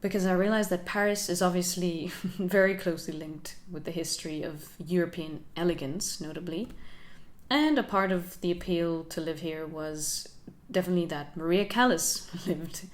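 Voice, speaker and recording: A young woman talks through her learning journey for her channel. She is soft-spoken and reflective, with a slightly low voice, and she laughs lightly as she goes. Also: normal speed. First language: Finnish. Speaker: channel host. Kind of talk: casual talking-head vlog.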